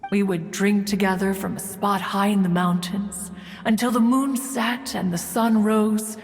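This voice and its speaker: A woman's voice: mid-range voice, fairly even intonation, measured pace, big-room sound, lightly acted. A woman voicing a proud, ancient bird-like immortal in a video game, telling stories in pompous, archaic and formal speech. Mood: fond reminiscing. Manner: pompous, archaic, formal, refers to herself as "one". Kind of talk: theatrical game storytelling. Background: background music.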